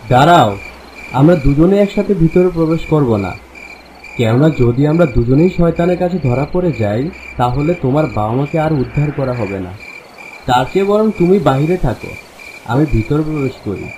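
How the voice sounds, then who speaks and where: A man's voice: a little high, lively intonation, normal speed, mellow, slight background noise, close to an everyday voice, poor audio. A man voicing a brave, earnest young orphan hero in a cartoon story, speaking in a reassuring, determined way. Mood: firm, urging.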